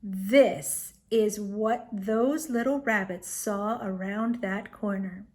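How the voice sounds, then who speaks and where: A woman storyteller reading a children's tale aloud expressively, with dramatic pauses and emphasis. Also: mid-range voice, fairly even intonation.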